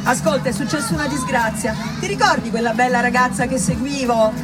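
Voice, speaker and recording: A woman's voice: high-pitched, a little fast, over loud music, over a noisy crowd, close to an everyday voice. A woman playing an evasive male gynecologist in a theatrical monologue, hesitant and falsely reassuring. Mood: urgent, confiding. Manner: hesitant, hedging, colloquial.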